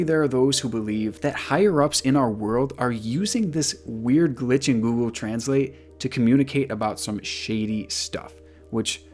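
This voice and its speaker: A man whose first language is Igbo, hosting a casual vlog in an excitable, swearing, often disbelieving way. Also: mid-range voice. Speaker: YouTube host.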